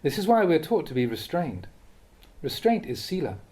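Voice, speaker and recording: A man with a soft, mellow voice reads a Buddhist teaching aloud. His delivery is measured and contemplative, yet the pitch rises and falls expressively as he poses rhetorical questions. He sounds like a monk giving a reflective talk, at a medium pitch. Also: big pitch swings. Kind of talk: read-aloud dharma talk.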